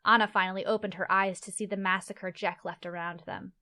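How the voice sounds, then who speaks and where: A woman's voice: medium pitch, fairly even intonation, a little fast, bright, forceful tone. A woman reading scripted narration for a fantasy story as its narrator.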